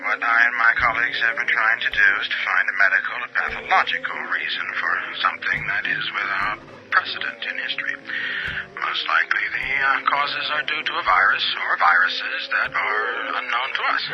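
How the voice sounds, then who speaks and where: An actor playing a guarded county pathologist speaking on the radio, measured, hesitant and matter-of-fact. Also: male voice, fairly low pitch, a little hiss or hum, close to an everyday voice. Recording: muffled lo-fi audio.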